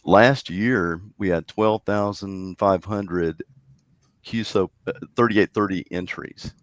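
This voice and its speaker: Deep-voiced man, halting and unhurried. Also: dry recording, clean studio sound. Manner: halting, many fillers and restarts. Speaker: guest, contest organizer. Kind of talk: casual podcast chat.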